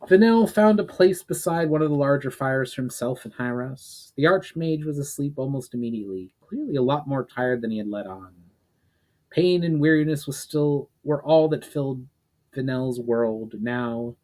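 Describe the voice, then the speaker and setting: A man's voice: medium pitch, animated, moderate pace, a little mellow, rough recording. A man giving a dramatic scripted narration of a fantasy story as a storyteller. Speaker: author and storyteller.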